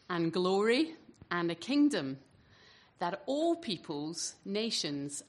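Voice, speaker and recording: A woman giving a formal scripture reading in church, solemn and measured, phrase by phrase. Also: medium pitch.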